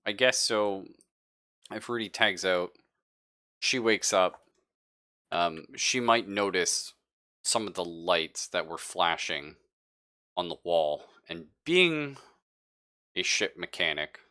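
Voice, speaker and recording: A man's voice: low voice, expressive, takes it slowly, long pauses, pushed and bright. A man narrating as game master of a tabletop roleplaying game, thinking aloud casually and hesitantly. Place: live online stream.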